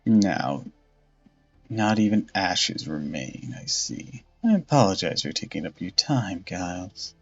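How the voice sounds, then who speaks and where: A man voicing a vengeful undead female saint who taunts her killer slowly and coldly. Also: low-pitched, sing-song, slightly rough, exaggerated voice. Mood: cruel. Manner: slow, cold, mocking, theatrical. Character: vengeful undead woman saint, cold and mocking. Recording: dull lo-fi audio with faint music.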